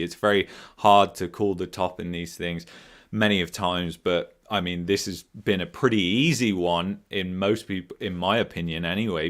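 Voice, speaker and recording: A man hosting a live finance question-and-answer stream, hoarse from a sore throat, rambling, losing his thread and chuckling as he talks. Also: low-pitched, a bit bright. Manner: rambling, loses his train of thought, chuckles often. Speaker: finance channel host.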